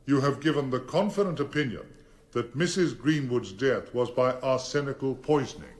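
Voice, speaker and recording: A formidable barrister played by a male actor in a radio courtroom drama. He is grave and probing, choosing his words deliberately and pressing each question with authority. His pitch sits in a middle male range. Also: lightly acted. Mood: measured, forensic. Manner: measured, deliberate, authoritative cross-examining.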